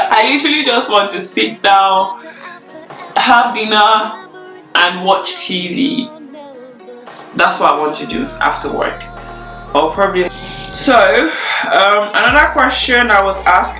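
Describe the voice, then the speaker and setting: A young woman chatting casually in a relaxed Q&A vlog, repeating words for emphasis. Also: fairly low pitch. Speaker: YouTuber. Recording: echoey room, faint music.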